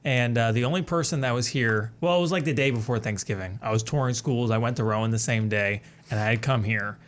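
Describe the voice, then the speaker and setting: Twenty-something guy rambling through stories, talks fast, lots of uh and like, mid-pitch. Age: 20s. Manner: rambling, loose storytelling with fillers. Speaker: guest, alumnus. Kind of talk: casual interview chat.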